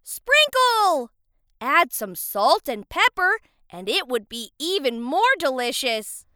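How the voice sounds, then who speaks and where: A woman's voice: sits high, sing-song, takes it slowly, pushed and bright, no room sound, clean studio sound, heavily acted. A woman voicing a spirited, bossy talking vegetable with exaggerated, exclamatory delivery in a children's audio story. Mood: eager.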